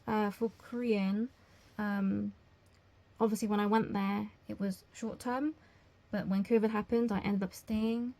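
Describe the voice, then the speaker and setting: A young woman answering job interview questions as a language teacher, explaining things in a measured way. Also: medium pitch, fairly even intonation, mellow. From England.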